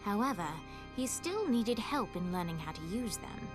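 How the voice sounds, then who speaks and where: An actress playing a storybook narrator in a video game, telling the tale in a measured, theatrical way. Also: female voice, medium pitch, very animated, music in the background, natural voice. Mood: solemn storytelling.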